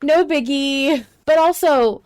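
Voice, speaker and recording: A woman's voice: high-pitched, slow pace, darkish tone. A woman co-hosting a podcast in casual chat, with a playful, joking, slangy way of talking. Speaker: podcast co-host.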